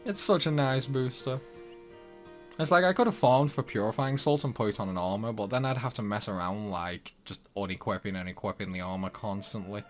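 Casting: young male gaming host, relaxed chatty commentary, faint game music underneath. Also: medium pitch. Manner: chatty, self-deprecating, exclaims at mistakes. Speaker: gameplay channel host.

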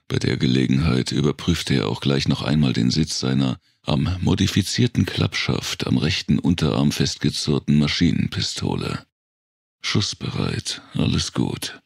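A man with a deep, rough, gravelly voice reading a dark thriller as dramatic scripted narration. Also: no echo, clean studio sound. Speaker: audiobook narrator.

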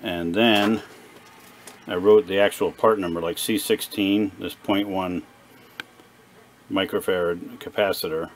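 A man giving a casual, unscripted hands-on repair demonstration in a plain, halting, explanatory way at a workshop bench. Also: low voice, fairly even intonation, a little hiss or hum. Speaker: presenter of a radio repair video. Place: small indoor workshop at a workbench.

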